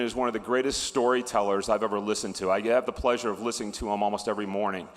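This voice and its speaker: A man giving a wry tribute speech at an awards ceremony, with fillers and restarts. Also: medium pitch. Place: large ballroom. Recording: reverberant hall.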